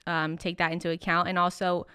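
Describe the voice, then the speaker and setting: A woman's voice: fairly low pitch, flat delivery, a little fast, pushed and bright, smooth, no echo, clean studio sound. A young woman hosting a podcast interview in an upbeat, enthusiastic manner. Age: young adult. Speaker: podcast host.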